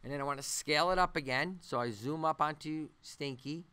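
A man teaches software in an unscripted walkthrough. His voice is clear and slightly high, with a bright edge. He pauses often and thinks aloud as he goes. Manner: halting, thinking aloud as he works. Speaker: animation tutorial instructor. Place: voice-over on a screen-recording tutorial.

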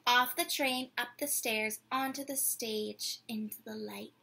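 A woman in her twenties doing an expressive, theatrical picture-book read-aloud for children, drawing out words and putting questions to her young listeners. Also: on the high side, a little slow, vocal fry. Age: 20s. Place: small decorated room indoors.

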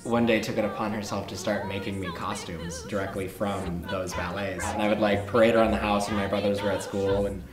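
A young man, a stage actor and dancer, telling animated childhood stories in a sit-down interview. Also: on the low side, brisk, hardly any pauses, roomy sound, music in the background.

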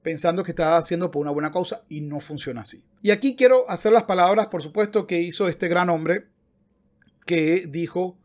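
Man in his thirties, fairly high voice, emphatic, argumentative, opinionated commentary, close desktop mic. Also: brisk, darkish tone. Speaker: video essayist and commentator. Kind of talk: opinionated commentary with passages read aloud. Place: book-lined room at home, indoors. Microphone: desktop microphone close in front.

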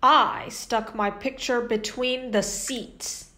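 A young woman reading a children's picture book aloud as host, in an animated, carefully enunciated way. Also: fairly high pitch, takes it slowly. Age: young adult. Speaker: host and children's story reader. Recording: echoey indoor room.

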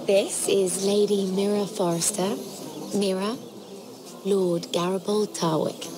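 A woman's voice: mid-range voice, level tone, takes it slowly, crowd noise, close to an everyday voice, low-quality recording. A woman playing a guarded young noblewoman in a dramatic video game, playful but easily wary. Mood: lightly social.

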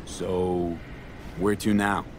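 A man's voice: low-pitched, level tone, very slow. An actor playing a dry, streetwise male mercenary in a video game, speaking in a terse, wry way. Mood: probing, wry. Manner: terse, wry, laid-back. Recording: clearly noisy outdoor and vehicle ambience.

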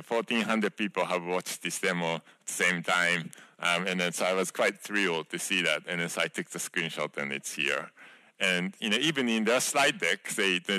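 A man's voice: low-pitched, sharp tone, dry recording, rough recording. A man in his thirties giving a conference keynote on a large stage, talking conversationally with many fillers and restarts. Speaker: keynote speaker, software project creator.

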